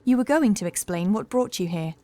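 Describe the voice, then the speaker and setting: A woman playing a young housekeeper in a horror audiobook, calm and assured, with measured, formal speech and a touch of sorrow. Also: medium pitch, close to an everyday voice. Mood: wary, firm. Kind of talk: horror audiobook character acting.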